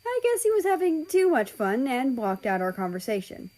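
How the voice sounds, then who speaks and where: A woman's voice: high voice, big pitch swings, moderate pace, mellow. A woman reading a first-person story aloud as scripted narration. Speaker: fan fiction reader.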